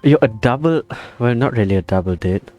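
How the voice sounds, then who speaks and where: A man's voice: medium pitch, animated, a little fast, dark tone. A man giving laid-back, casual let's play commentary in short reactions. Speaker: gamer playing and reacting. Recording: voice over game music.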